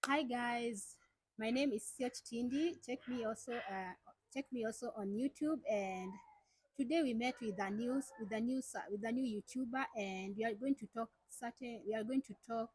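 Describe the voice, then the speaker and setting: This is a woman speaking as a guest, with a husky, slightly high voice. She talks haltingly and keeps restarting her phrases, and the sound is dry and close. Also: no echo, low-quality recording. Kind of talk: casual chat.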